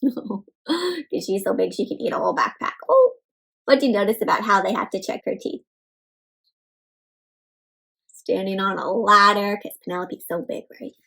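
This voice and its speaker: A woman leading an interactive children's read-aloud as a teacher, asking young listeners questions and pausing for their answers. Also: medium pitch, gravelly, bright, forceful tone, breathy edge. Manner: asks listeners questions, pauses, addresses children as friends.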